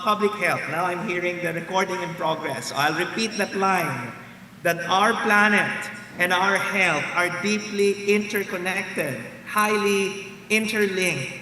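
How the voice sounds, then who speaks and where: A man with a Filipino accent giving a talk over a video call from a hotel room, speaking loudly with many fillers. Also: high voice, slight background noise. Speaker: guest lecturer. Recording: echoey, roomy, distant video call audio.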